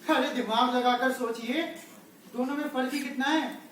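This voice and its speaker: A man's voice: very high-pitched, fairly even intonation, moderate pace, fairly bright tone. Guy playing a musing clown talking to the crowd, dramatic stage delivery, big echo, faint noise. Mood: wry, musing. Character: musing clown narrator addressing the audience, wryly philosophical. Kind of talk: theatrical stage monologue. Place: indoor stage, recorded from a distance. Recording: very echoey hall, far mic, faint noise.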